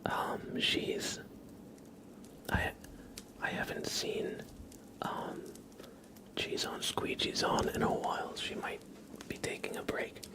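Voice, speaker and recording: A man's voice: slow pace, pauses often, poor audio. Whispering male ASMR streamer. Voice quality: breathy, mostly whispered. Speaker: ASMR livestream host. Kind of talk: ASMR, casual chat with livestream viewers.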